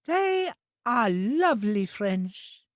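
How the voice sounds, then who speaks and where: A reader voicing a childlike, eager young woman who speaks slowly in a high, sing-song, pleading way. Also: big pitch swings, no room sound, clean studio sound, heavily acted.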